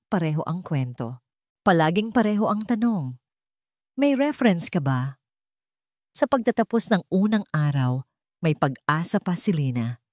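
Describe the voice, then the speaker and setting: Female audio-drama narrator, low register, mellow tone, dry recording. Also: dry recording. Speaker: storyteller narrator.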